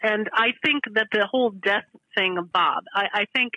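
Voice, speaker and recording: Bright, forceful middle-aged woman caller. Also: mid-range voice, no echo, poor audio. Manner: halting, repeats and restarts sentences, earnest. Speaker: regular caller to a show. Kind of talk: candid emotional conversation.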